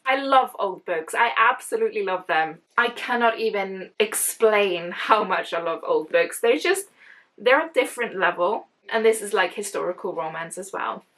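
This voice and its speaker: A woman's voice: medium pitch, expressive, moderate pace, a bit bright. A young woman from Iceland, with as her tongue, rambling cheerfully through a casual book unboxing and often restarting her words. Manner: chatty and rambling, often restarts words, gushes about books. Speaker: book vlogger.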